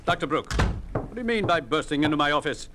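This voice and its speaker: An actor playing a cold, officious, defensive hospital chief in a 1950s radio drama, pompous and formal. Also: male voice, on the high side, lively intonation, quick pace, noisy background, lightly acted. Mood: haughty.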